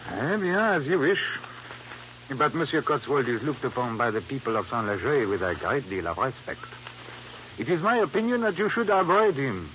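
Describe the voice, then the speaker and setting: An actor playing a formal, condescending police inspector in an old radio drama. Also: male voice, medium pitch, sing-song, normal speed, a bit bright. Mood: veiled warning. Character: suave, authoritative Haitian police inspector, veiled menace. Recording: muffled, faintly noisy old radio recording.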